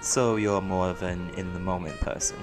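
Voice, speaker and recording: A man's voice: low-pitched, fairly even intonation, normal speed, over music, lightly acted. A man playing a young student doctor with a secret life as a lawman, in an audio roleplay. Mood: friendly, curious. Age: young adult.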